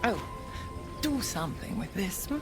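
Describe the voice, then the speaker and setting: An actress playing a poised, wealthy patron of archaeological digs in an adventure game, with a suave, wry manner. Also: female voice, on the low side, sing-song, moderate pace, over music. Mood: fond, wry. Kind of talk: cinematic adventure game acting.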